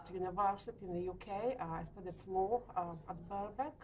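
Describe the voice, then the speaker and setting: Woman, forties, Lithuanian accent, non-native English, hesitant, pausing often, mid-pitched. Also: warm tone. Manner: hesitant, frequent uh pauses, light laughs. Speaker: letting agency owner. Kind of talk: business interview. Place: hotel meeting room.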